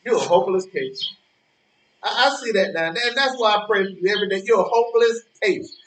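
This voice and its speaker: High-voiced, preachy old man played by an actor. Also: a bit bright. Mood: exasperated. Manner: moralizing, halting, repeating words for emphasis. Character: preachy, indignant old man, lonely park-bench regular. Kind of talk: stage play dialogue. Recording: echoey, distant-sounding, noisy ambience with birdsong.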